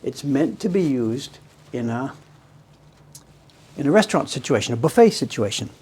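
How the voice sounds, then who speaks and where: A man's voice: mid-range voice, sing-song, moderate pace, darkish tone, husky. A man in his seventies chatting casually on a live stream while demonstrating his workshop tools, rambling and breaking into amused laughter. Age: 70s.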